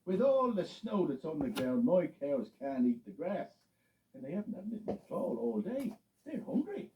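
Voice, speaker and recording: A man's voice: fairly high pitch, very animated, normal speed, dark tone, recorded from a distance, a little hiss or hum, voice pushed up. A man voicing a worried, grateful middle-aged farmer. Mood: fretful. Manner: relieved, fretful. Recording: muffled, with music behind.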